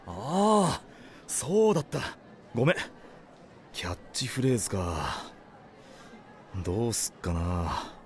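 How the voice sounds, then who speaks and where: A man acting a sensible high school team captain in an anime radio drama, dryly retorting and scolding. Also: wildly swooping pitch, slow pace, pauses often, a little hiss or hum. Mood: thoughtful. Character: sensible, dry teenage male team captain.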